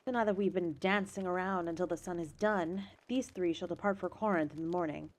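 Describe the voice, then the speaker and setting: A woman's voice: fairly low pitch, fairly even intonation, brisk, lightly acted. An actress playing a noblewoman who gives orders in a formal, authoritative way. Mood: commanding. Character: commanding noblewoman giving orders.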